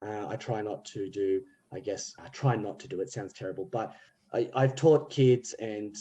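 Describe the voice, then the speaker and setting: A man who works as an English teacher, talking in a relaxed podcast chat and thinking aloud as he goes. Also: fairly low pitch, normal speed. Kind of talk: casual podcast conversation.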